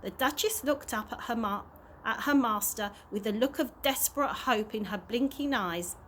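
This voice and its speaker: A woman's voice: fairly high pitch, moderate pace, fairly bright tone. A woman reading a children's story aloud on a slightly noisy amateur recording. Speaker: early years practitioner reading stories.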